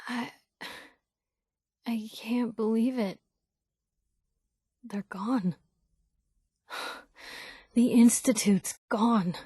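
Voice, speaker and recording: A woman's voice: fairly high pitch, drawn-out words, long pauses, mellow, breathy edge, no room sound, clean studio sound, full character voice. An actress playing a plucky, outspoken young woman reporter, a video game companion with a wry, folksy, punchy delivery. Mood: awed, earnest. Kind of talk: video game voice acting, short reactive lines.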